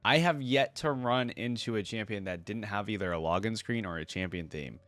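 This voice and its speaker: Man, slightly low voice, reaction host, informal, thinking aloud, faint music behind. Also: moderate pace, a bit bright. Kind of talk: casual reaction commentary.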